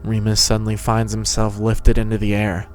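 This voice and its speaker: A man's voice: fairly low pitch, completely flat delivery, moderate pace, a little mellow, faint music behind. A man narrating a fan-fiction story as a scripted dramatic reading.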